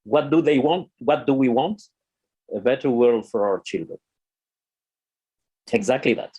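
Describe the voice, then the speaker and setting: A man speaks earnestly at a conference at a middling pitch. He pauses often with fillers and restarts his sentences. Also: lively intonation, mellow, slightly rough. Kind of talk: spoken conference remarks.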